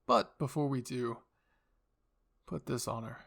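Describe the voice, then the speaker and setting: Guy doing creepy older dad in a story, gravelly, takes his time w every word. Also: medium pitch, fairly even intonation, fairly bright tone. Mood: commanding. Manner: slow, savoring, deliberate delivery. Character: slightly old grandfatherly man, delighted, quietly sinister father.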